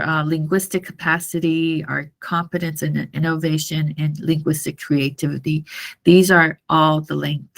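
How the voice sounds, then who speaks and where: Woman, native Mandarin speaker, university lecturer. Low register with vocal fry, halting and repetitive classroom explanation. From Taiwan. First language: Mandarin Chinese. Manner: halting, repetitive, checks understanding often.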